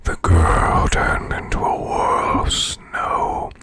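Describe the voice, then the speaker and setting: A man voicing a male demon boss in an ASMR bedtime reading, with a breathy, rasping, growled whisper and slow, deliberate storytelling. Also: close to an everyday voice. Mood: eerie, hushed. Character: male demon boss, growling while lulling listener to sleep.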